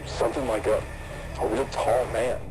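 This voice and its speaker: A man playing a plain-spoken, uneasy construction worker leaving halting voice messages in an analog horror series. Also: mid-range voice, flat delivery, close to an everyday voice. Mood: puzzled. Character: uneasy blue-collar male construction worker, reporting strange happenings. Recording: loud radio-like static and site noise.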